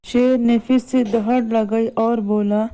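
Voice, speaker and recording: A woman's voice: fairly high pitch, steady tone, slow, warm tone, low-quality recording. A woman narrating a scripted moral story as a storyteller. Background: background music.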